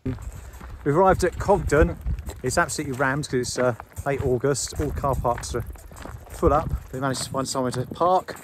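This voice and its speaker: A man's voice: medium pitch, normal speed. A man, talking casually and instructively as a fishing vlogger. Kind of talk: casual instructional vlog talk. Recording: clear background ambient noise.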